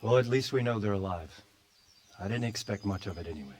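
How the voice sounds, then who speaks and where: An actor playing a worried, cautious, weary medieval lord in a video game. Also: male voice, fairly low pitch, animated, a little fast, no echo, close to an everyday voice. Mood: resigned. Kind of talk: dramatic video game acting.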